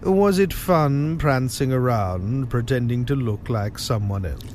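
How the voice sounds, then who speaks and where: A man plays an ancient evil lord of darkness in an audio drama, smug and mocking. He speaks slowly with big swings of pitch and a mock-polite air, over faint noise. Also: expressive, a little mellow, natural voice. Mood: smug, taunting.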